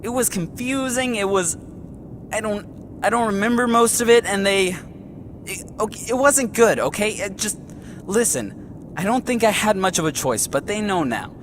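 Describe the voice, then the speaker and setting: A man's voice: sits high, lively intonation, moderate pace, slight background noise. A man playing a guilty, evasive young student in an audio drama, stammering and defensive. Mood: flustered, guilty.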